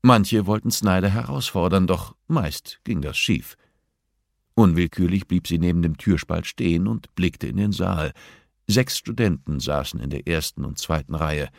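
Male audiobook narrator, low register, scripted fiction reading, dry studio sound. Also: moderate pace, gravelly, no echo.

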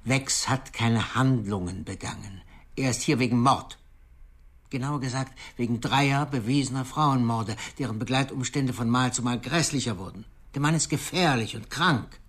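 A man's voice: mid-range voice, normal speed, fairly bright tone, husky. A man playing a condescending senior psychiatrist in a radio drama, formal and patronising. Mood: stern. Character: condescending male senior psychiatrist, sceptical and authoritative.

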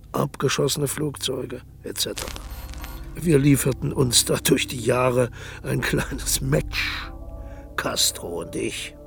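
An actor playing a vain, sardonic aging dictator in a radio play, mocking and grandiose, sinking into low sneering murmurs. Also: male voice, vocal fry. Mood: boastful. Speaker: title character in a radio play.

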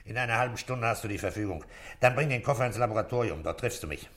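Calm middle-aged police boss in a radio drama, low voice, short commanding lines. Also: male voice, fast. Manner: terse, matter-of-fact, commanding. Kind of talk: German radio play acting.

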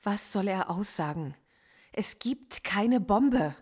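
An indignant professor. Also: female voice, mid-range voice, expressive, takes it slowly, a little mellow, slightly rough. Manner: pleading, incredulous, insistent. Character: indignant woman philosophy professor under interrogation. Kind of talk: radio drama acting.

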